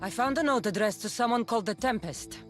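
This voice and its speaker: An actress as an expressive, tough Greek mercenary woman. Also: fairly high pitch, fast, music in the background, natural voice. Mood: suspicious. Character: confident, tough female mercenary of ancient Greece. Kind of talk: dramatic video game acting.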